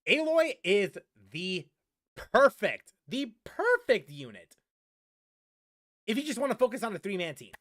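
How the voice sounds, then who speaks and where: A man's voice: high-pitched, big pitch swings, measured pace, pauses often, a bit bright, no echo, clean studio sound. A man streaming casual game chat, rambling and often repeating his phrases for emphasis. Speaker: gaming streamer answering viewers. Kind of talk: casual livestream chat about games.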